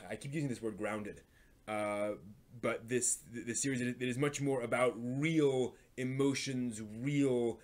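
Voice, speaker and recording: A man hosting a live stream, chatting casually and giving recommendations in a halting way, often thinking aloud. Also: medium pitch, darkish tone. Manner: halting, thinks aloud, hums filler syllables while searching.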